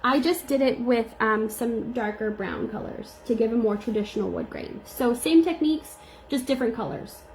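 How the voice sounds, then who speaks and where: Young woman nail educator, slightly squeaky, a bit gravelly, chatty live-tutorial delivery. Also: normal speed, slight background noise, rough recording. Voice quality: a little squeaky. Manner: chatty, reads and answers viewer comments.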